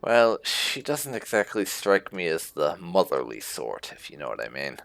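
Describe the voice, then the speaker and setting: A woman's voice: a bass voice, sing-song, moderate pace, vocal fry, no room sound, faint music behind. A woman doing roleplay game commentary, musing and hesitant, with thoughtful hums as she reads dialogue choices aloud. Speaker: gaming video host and player.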